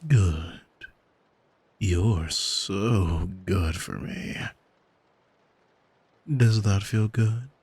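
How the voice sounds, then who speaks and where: A man playing an arrogant, manipulative demon in an intimate ASMR roleplay, speaking in a soft, teasing, condescending and commanding way to the listener. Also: low-pitched, drawn-out words, pauses often, slightly rough, full character voice. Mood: smug, coaxing. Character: arrogant male demon, seductive, condescending, coolly manipulative captor. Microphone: close microphone, dry.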